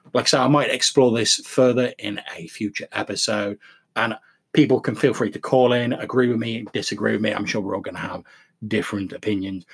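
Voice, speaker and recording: A man hosting a podcast, talking reflectively in a measured, explanatory and courteous way. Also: lowish voice, creaky, husky. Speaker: podcast host. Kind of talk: reflective solo podcast talk.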